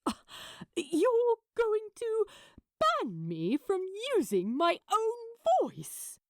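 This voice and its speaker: A woman's voice: very high-pitched, huge pitch swings, drawn-out words, no room sound, clean studio sound, voice pushed up, heavily acted. Woman voicing a dejected, hesitant man. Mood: incredulous. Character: dejected, bewildered, gentle male voice actor.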